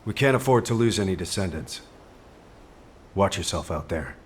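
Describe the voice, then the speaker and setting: A man playing a composed, caring military officer in a video game, giving grave briefings in a measured, formal, earnest way. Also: sits low, lively intonation, slight background noise. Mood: serious, focused. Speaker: mission commander giving briefings. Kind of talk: scripted video game dialogue.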